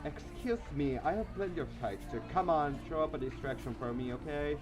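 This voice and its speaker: A man's voice: a little high, fairly quick, lightly acted. Man playing a cocky teenage schoolboy, music behind. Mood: pleading. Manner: flippant.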